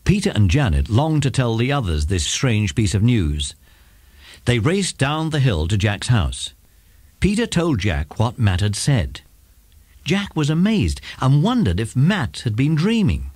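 Very expressive male narrator. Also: mid-range voice, measured pace, husky, no echo. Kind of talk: scripted children's story narration.

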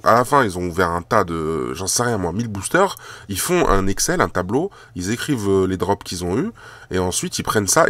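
A man with a cold chats casually over a table of trading cards, his voice a little low. He rambles, repeats himself and fills pauses with hesitations. The sound is dry and close, with no room echo. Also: a bit bright. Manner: rambling, many fillers and repeated words. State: has a cold. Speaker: card collector and shop owner. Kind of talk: casual video chat while handling cards.

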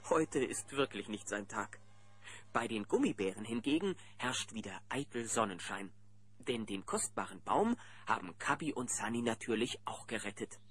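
A man's voice: lowish voice, animated, normal speed, a bit bright, breathy edge. A man narrating a children's radio play as scripted storytelling. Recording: music behind the voice.

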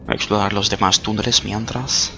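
A young man giving casual, jokey gameplay commentary, excitable and quick to swear. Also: lowish voice, slight background noise. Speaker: gamer. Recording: clear game music behind.

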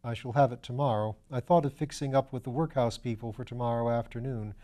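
A man's voice: mid-range voice, fairly even intonation, quick pace, warm tone, natural voice. Man as a dry, sensible detective. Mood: dry, doubtful. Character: sensible, sceptical, dry-humoured male police detective.

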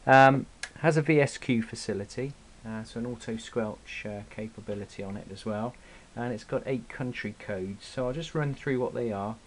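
Bloke doing a casual gear review, bit hesitant, normal pitch, hissy home camera sound. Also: male voice, normal speed, hardly any pauses. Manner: hesitant, informal, unscripted delivery. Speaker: radio hobbyist reviewer. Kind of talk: casual product review and demonstration. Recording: hissy, noisy amateur camera recording.

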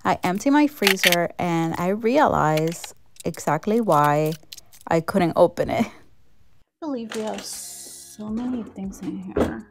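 A woman's voice: medium pitch, lively intonation, slow, vocal fry, background noise. A woman in her thirties vlogging from her home kitchen, talking casually and encouragingly to camera about organizing. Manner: chatty and encouraging, laughs at her own mess. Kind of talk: casual talk to camera with voice-over.

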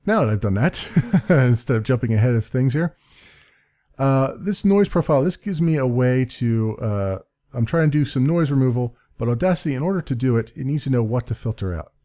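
Deep-voiced man rambling through a tutorial. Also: animated, brisk, dark tone. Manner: rambling and self-correcting, with small laughs. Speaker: let's play creator explaining his audio setup. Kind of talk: casual screen-recorded tutorial. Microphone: desk-mounted condenser mic with pop filter.

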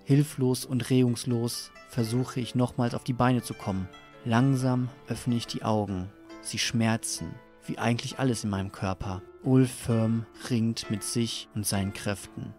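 A man's voice: medium pitch, steady tone, unhurried, darkish tone, close to an everyday voice. An actor playing a tormented, disoriented man, narrating his nightmare dramatically in an audio drama. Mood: exhausted, brooding. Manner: brooding, dramatic. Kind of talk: scripted first-person audio drama narration. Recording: music under the voice.

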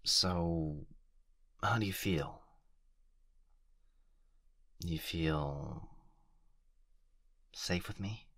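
A man playing an obsessive, doting fox-spirit husband in an intimate ASMR roleplay, speaking softly and tenderly, close to the microphone. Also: low-pitched, no echo, heavily acted. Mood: tender, concerned. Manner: soft, hushed, tender, halting delivery. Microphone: close binaural microphone.

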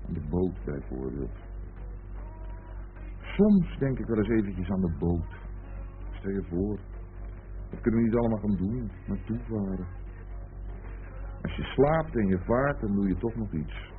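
A man's voice: lowish voice, expressive, measured pace, pauses often, soft background music. An actor in a Dutch radio play as a dreamy, teasing man who rambles playfully and philosophizes, on a muffled old recording. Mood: wistful musing. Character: dreamy, teasing idler musing about an unfinished boat.

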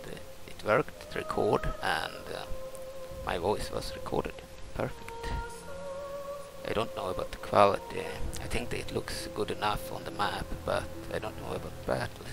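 Very gravelly, low-voiced Swedish man. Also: poor audio. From Sweden, adopted from Brazil. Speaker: gaming video host. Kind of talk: casual unscripted game commentary. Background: loud game music.

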